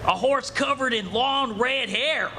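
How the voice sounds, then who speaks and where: An actor playing an earnest, shaken frontier miner who talks in rustic cowboy speech in a live radio play. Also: male voice, very high-pitched, measured pace. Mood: earnest, excited. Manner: rustic, exclamatory cowboy talk. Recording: noisy live stage recording.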